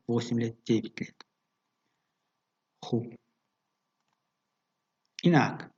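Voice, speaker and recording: A man teaching a bilingual grammar lesson, explaining patiently with fillers and reading example phrases slowly. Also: mid-range voice, creaky, darkish tone, husky. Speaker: language teacher. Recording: dull lo-fi recording.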